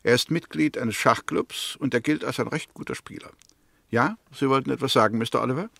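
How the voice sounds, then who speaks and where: A man acting in a 1960s German radio play as a probing, sceptical Englishman who sets out a murder case in a deliberate, precise way. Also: medium pitch, gravelly, sharp tone, no echo. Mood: measured, descriptive.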